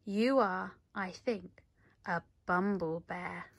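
A woman's voice: on the low side, slow, no echo. Woman voicing a firm storybook queen bee. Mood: proclaiming. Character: authoritative queen bee in charge of a school.

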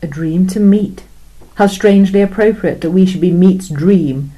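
A woman's voice: on the low side, normal speed, warm tone, highs cut off, lightly acted. A woman voicing an incredulous female alien official who questions everything in a wry, skeptical way in a two-voice science fiction story reading.

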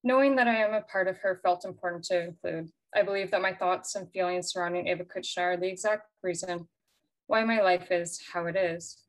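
Woman reading her artist statement slow and calm, lowish voice. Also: poor audio. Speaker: exhibiting artist.

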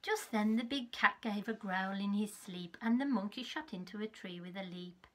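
A woman in her fifties reads a children's story in a measured, expressive way, with a slightly husky voice. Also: mid-range voice, slight background noise. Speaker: teacher reading a storybook to young children. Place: small furnished room at home, indoors.

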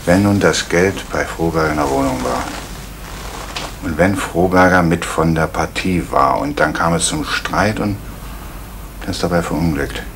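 A deep, calm, probing police inspector in an old radio play with tape hiss. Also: male voice, normal speed, creaky. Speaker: police detective Fuchs. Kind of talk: 1980s East German radio crime drama.

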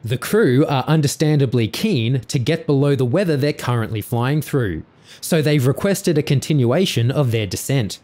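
A man's voice: medium pitch, expressive, normal speed, darkish tone. A man reading scripted explanatory narration for an aviation incident video.

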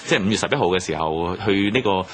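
A man's voice: low-pitched, fast talker, fairly bright tone, a little hiss or hum. A man with a Hong Kong Cantonese accent, giving analytical explanations in a radio history discussion. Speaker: commentator on history radio programme.

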